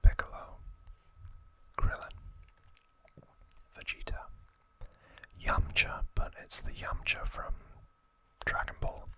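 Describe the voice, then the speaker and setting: An ASMR host whispering gently and deliberately, drawing words out as they present to the listener.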